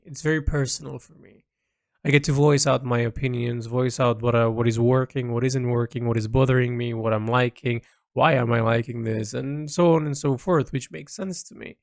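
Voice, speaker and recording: A man's voice: medium pitch, gravelly, dry recording, clean studio sound. A man recording an informal, reflective audio diary, speaking hesitantly as he thinks aloud and lets phrases trail. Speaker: artist recording a personal audio log.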